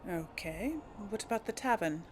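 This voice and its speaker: A woman's voice: mid-range voice, animated, moderate pace, a little mellow, a little hiss or hum. A woman hosting a gaming video, musing to herself and reading dialogue choices aloud in casual role play. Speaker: gaming video host playing in character.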